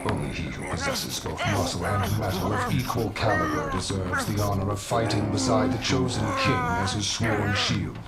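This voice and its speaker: An actor playing an ancient, stern legendary warrior in a video game, speaking in a grandiose, archaic, measured manner. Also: male voice, lowish voice, close to an everyday voice. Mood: imperious challenge. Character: ancient legendary warrior, stern male trial-master, imperious. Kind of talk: video game cutscene acting. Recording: dramatic game music behind.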